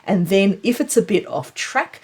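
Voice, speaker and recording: A woman's voice: medium pitch, lively intonation, moderate pace, a little mellow, slightly rough. A woman presenting a conversational, explanatory recap of tech news, leaning on key points for emphasis.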